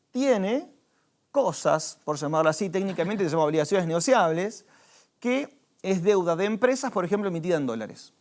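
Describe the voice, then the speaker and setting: An Argentine man speaks as a financial adviser in a relaxed conversation. He explains patiently, walking through concrete examples, and now and then restarts a phrase while he finds the word. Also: high-pitched. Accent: Argentine Spanish.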